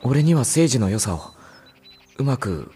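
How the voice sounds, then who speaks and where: A woman's voice: rumbling low, very animated, measured pace, dark tone, faint music behind, full character voice. A woman playing an earnest, caring young man with casual boyish speech in a Japanese audio drama. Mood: dejected, reflective. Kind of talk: Japanese visual novel audio drama acting.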